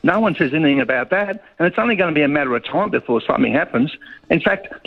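Australian man, talkback caller, opinionated, dull phone sound. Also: mid-range voice. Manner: animated, opinionated.

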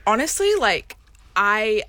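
A woman's voice: mid-range voice, sing-song, slow, bright, forceful tone. A young woman from Canada with a Canadian accent, a guest in a radio studio, talking casually with lots of "like" fillers. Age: young adult. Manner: chatty, many "like" fillers. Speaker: guest business owner.

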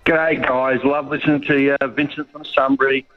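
A man's voice: mid-range voice, moderate pace, soft background music. Australian man, talkback caller, opinionated, dull phone sound. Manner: animated, opinionated.

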